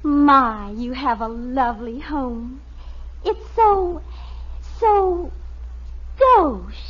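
A woman's voice: sits high, animated, husky, full character voice. A woman playing a young, affected teenage girlfriend in an American radio sitcom, coy and gushing in an American accent. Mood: admiring, affected. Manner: coy, gushing, drawn-out delivery. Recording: dull vintage radio recording.

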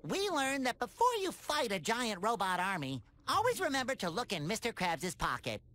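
An actor playing a cheerful, naive, childlike sea sponge in a cartoon video game, with a squeaky nasal voice and earnest manner. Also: male voice, huge pitch swings, moderate pace, pushed and bright, heavily acted. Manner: earnest, bubbly, innocent.

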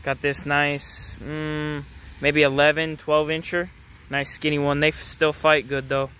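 A man's voice: a little high, level tone, measured pace, bright, forceful tone, clear, resonant tone. A young man casually vlogging his fishing catches to viewers, talking into a handheld phone outdoors. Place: outdoors at a city pond edge. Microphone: phone camera held in hand.

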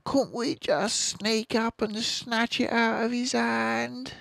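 A man's voice: extremely high pitch, steady tone, takes it slowly, creaky, a bit bright, no echo, pitched above the performer's own voice, exaggerated voice. A man reading a storybook aloud and voicing small, hungry fox cubs who plead and whine. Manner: pleading, whiny.

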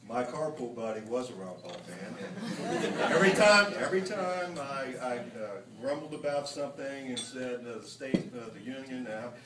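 A middle-aged man making an informal, conversational comment from the audience, somewhat halting. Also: medium pitch, animated. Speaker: audience member at a campaign event. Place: hotel banquet room, indoors. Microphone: handheld microphone, recorded from across room. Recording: noisy echoey room from far off, crowd.

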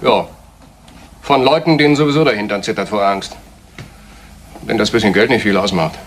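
An actor playing a cynical, brazen blackmailer in a retro German radio crime play, talking with nonchalant scorn. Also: male voice, lowish voice, moderate pace, natural voice. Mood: cynical, unrepentant. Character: cynical, brazen male blackmailer, coolly unafraid. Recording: noisy vintage radio play recording.

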